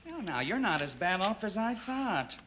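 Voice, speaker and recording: A man's voice: high voice, big pitch swings, moderate pace. A man playing a smug, unscrupulous insurance agent in a broad comedy, talking in a sarcastic, haughty way. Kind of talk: broad situation comedy acting. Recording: very noisy, hissy recording.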